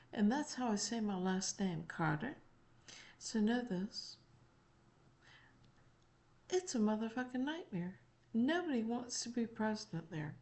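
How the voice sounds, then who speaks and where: A woman voicing a folksy, elderly gentleman and former president in an emphatic, deliberate, profane channeled monologue. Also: fairly high pitch. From North Carolina, United States. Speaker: spiritual channeler.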